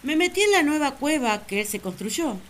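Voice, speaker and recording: A woman's voice: high voice, very animated, moderate pace, a little hiss or hum. An actress playing an earnest, lovesick first woman who confides her feelings in a sentimental radio drama monologue. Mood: sad, hurt.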